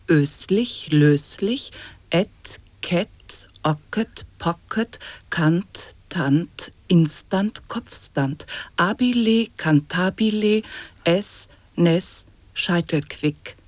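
Strange chanter, breathy half-whisper, slow rhyming syllables, experimental radio drama, dry studio sound. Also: medium pitch, a little mellow, no room sound. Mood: eerie, playful. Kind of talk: avant-garde radio drama, sound poetry.

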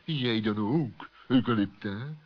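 Guy doing a slow grumbly fox, wary n a bit sheepish, kids radio play, muffled. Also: mid-range voice, lightly acted. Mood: sheepish, defensive. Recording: dull, lo-fi old recording.

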